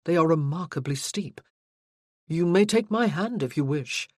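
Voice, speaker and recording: Reader doing a teasing, self-assured gentleman in a romance audiobook; dry studio read. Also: medium pitch, lively intonation, normal speed, no room sound, close to an everyday voice. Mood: wry. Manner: playful, teasing, then earnest. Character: teasing confident gentleman suitor. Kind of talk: audiobook character voicing.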